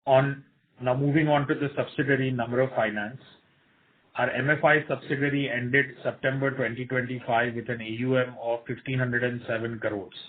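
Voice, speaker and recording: Male executive, Indian accent, measured scripted earnings-call delivery, thin telephone-band sound. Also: mid-range voice, little pitch movement. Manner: formal, measured reading of figures. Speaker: company executive presenting results. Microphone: telephone conference line. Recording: tinny narrow phone line.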